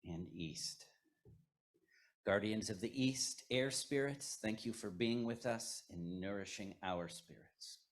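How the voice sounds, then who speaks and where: Male ritual leader, lowish voice, unhurried solemn phrasing, ceremonial invocation.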